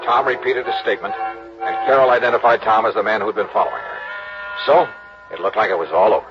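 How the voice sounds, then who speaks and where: A man's voice: on the low side, steady tone, fast talker, over music, natural voice. A man playing a dogged, hard-boiled insurance investigator in a 1950s radio drama, questioning suspects with clipped, wry lines and narrating. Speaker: lead and narrator. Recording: muffled old radio broadcast with hiss.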